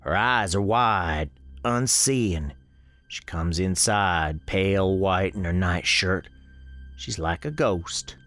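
A man playing a grave, folksy storekeeper, narrating an eerie radio drama in a measured, ominous way. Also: low-pitched, a bit bright, faint music behind.

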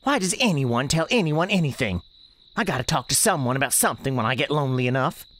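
A performer voicing an angry, defiant young girl with a Southern US accent and sharp, folksy speech, in an audio drama. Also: mid-range voice, animated, quick pace, slight background noise, close to an everyday voice. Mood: defensive, bitter.

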